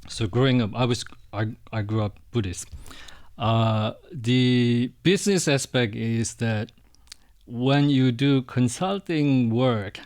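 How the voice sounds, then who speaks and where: Soft-spoken middle-aged monk from Indonesia. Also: male voice, mid-range voice, slow pace. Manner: hesitant, soft-spoken, with frequent restarts and small laughs. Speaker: interview guest, Buddhist monk. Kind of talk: casual interview answers.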